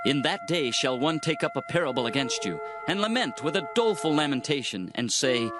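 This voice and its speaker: A man's voice: a little high, lively intonation, fairly quick, close to an everyday voice. Man acting as impassioned prophet, sweeping emphatic delivery. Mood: urgent warning. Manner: declamatory, emphatic, theatrical. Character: impassioned male prophet lamenting and warning his people. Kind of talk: dramatized scripture reading. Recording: music under the voice.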